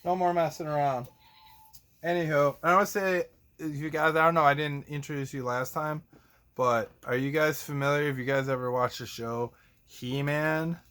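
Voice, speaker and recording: A man's voice: on the high side, fairly even intonation, normal speed, a bit bright. A man casually hosting a playful show for children, chatting about toys in a goofy, theatrical way with silly exclamations. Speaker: children's show host and teacher. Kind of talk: casual playful chat for kids, showing toys.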